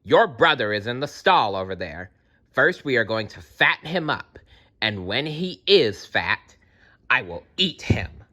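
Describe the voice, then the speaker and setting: A man's voice: mid-range voice, sing-song, a little slow, bright, forceful tone, heavily acted. A man voicing a wicked old witch in a storybook, commanding and scheming. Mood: bossy, menacing. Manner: commanding, menacing. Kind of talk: storybook character voice in a read-aloud.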